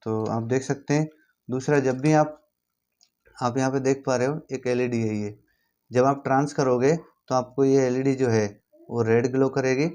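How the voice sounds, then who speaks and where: A man's voice: medium pitch, darkish tone. A man with an Indian accent giving an informal hands-on tutorial, repeating himself and checking in with the listener as he instructs. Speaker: instructor demonstrating equipment.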